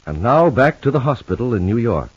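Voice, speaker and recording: Casting: American male announcer for vintage soap, hugely varied intonation, husky, muffled period sound. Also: medium pitch, very animated, fairly quick. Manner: dramatic, formal scene-setting. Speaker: announcer and narrator. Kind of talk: scripted 1950s radio soap narration. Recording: muffled old radio recording.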